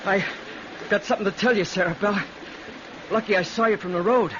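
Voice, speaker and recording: An actor playing an earnest, excitable, kindly rural American lad in an old-time radio drama, speaking in a halting, folksy way. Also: male voice, high voice, natural voice. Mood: urgent. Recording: muffled, noisy vintage radio recording.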